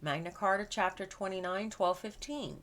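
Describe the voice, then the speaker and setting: A woman reading scripted nonfiction aloud as a narrator, with measured, deliberate phrasing and emphatic pauses. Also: mid-range voice, fairly bright tone. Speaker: reader of a legal book.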